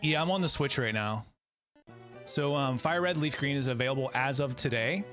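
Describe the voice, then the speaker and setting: A man's voice: mid-range voice, normal speed, fairly bright tone, faint music behind. A man in his thirties playing a game on a live stream, chatting in a laid-back, friendly way and greeting viewers. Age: 30s. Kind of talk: casual live-stream chat while playing.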